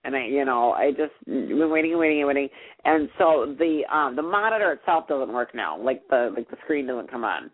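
A man's voice: medium pitch, lively intonation, brisk. A young man co-hosting a casual chat show, rambling anxiously with lots of restarts and fillers. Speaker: podcast co-host. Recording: tinny phone-like sound.

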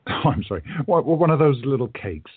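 A man's voice: medium pitch, sing-song, a little fast, slightly rough, no echo. A man playing a fussy, formal and unflappable bookstore owner in his late thirties in a radio play, with wry, precise delivery. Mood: courteous, earnest. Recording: thin, phone-like audio.

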